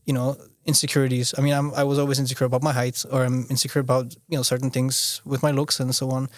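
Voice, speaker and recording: A young man who grew up in Austria chats as a guest on a podcast, his speech halting and full of restarts. His voice sits at a middle pitch for a man and is recorded close and dry, with no room sound. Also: flat delivery, no room sound. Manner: halting, restarts often, leans on "you know". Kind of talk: casual conversational interview.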